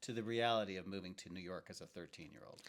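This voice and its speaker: Host role: man with a lowish voice, quick delivery, casual short interjections between longer questions. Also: fairly bright tone. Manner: brisk, short reactions and open questions.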